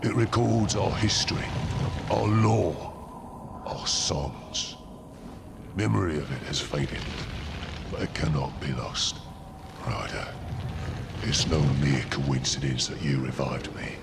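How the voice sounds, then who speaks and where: Slow, immensely deep stone giant. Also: male voice, noisy background, exaggerated voice. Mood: solemn, wistful. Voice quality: gravelly, booming. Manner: slow, weighty, solemn. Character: huge ancient stone being, solemn and wistful. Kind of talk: video game cutscene acting. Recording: loud rumbling ambience behind voice.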